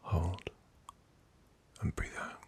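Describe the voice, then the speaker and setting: A British man doing a soft-spoken ASMR doctor roleplay, breathy and mostly whispered, with a gentle, reassuring, unhurried manner. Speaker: ASMR performer playing a doctor.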